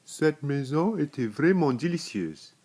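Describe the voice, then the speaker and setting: A man voicing a big, clumsy, earnest bear in a children's storybook, with emphatic, drawn-out declarations. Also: a little high, animated, darkish tone, voice pushed up. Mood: matter-of-fact.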